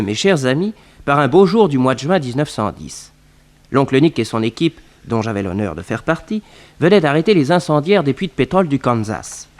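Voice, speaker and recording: Animated storytelling narrator played by a man. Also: medium pitch, natural voice. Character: proud nephew of a detective, recounting adventures. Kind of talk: storytelling narration in 1960s radio serial.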